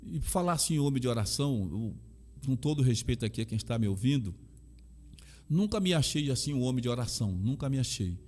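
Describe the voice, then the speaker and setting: A man with a Bahian Brazilian accent talks in an earnest, measured way, leaning on repetition to drive his points home. He speaks as a chaplain sharing his faith and his life story in a relaxed conversation. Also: mid-range voice. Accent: Bahian Brazilian Portuguese. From Salvador, Bahia, Brazil. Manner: emphatic, repeats key phrases. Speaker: chaplain and guest.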